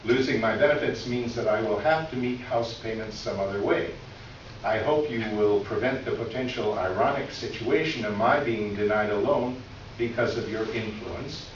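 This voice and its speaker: A man's voice: lowish voice, moderate pace, lightly acted. Man acting an aggrieved older clerk, very echoey room. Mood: earnest, aggrieved. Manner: formal, wordy, earnest. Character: formal, aggrieved older male store clerk near retirement, confessing. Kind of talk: staged readers theater, reading a letter aloud. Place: live reading in a reverberant room. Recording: echoey room from far off, dull, noisy.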